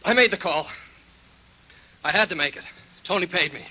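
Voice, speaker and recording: Un jeune indic terrifié, voix aiguë. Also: male voice, high-pitched, moderate pace, bright, forceful tone, husky, exaggerated voice. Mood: scared. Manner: pleading, panicky. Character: frightened young male informant. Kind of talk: 1940s radio acting. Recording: dull old radio broadcast, faint clicks.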